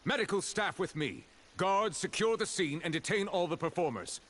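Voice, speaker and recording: An actor playing a composed, formal man of high authority in a video game, speaking in a calm, measured way. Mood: commanding. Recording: game audio with music behind.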